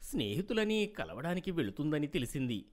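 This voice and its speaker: An actor playing a gentle, indulgent middle-aged father and husband in an animated story, coaxing and soothing. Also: fairly high pitch, fairly quick. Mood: placating.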